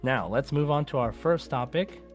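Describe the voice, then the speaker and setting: A man's voice: medium pitch, moderate pace, faint music behind. A man hosting a podcast in a casual, informal way, addressing listeners as guys. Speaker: podcast host.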